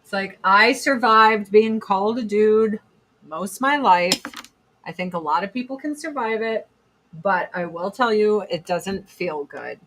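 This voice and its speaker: Slow-talking blunt woman with a deep voice. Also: fairly bright tone, slight background noise, rough recording. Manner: blunt, profane, sarcastic, reads chat comments aloud. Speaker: livestream host. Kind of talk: casual livestream chat doing makeup.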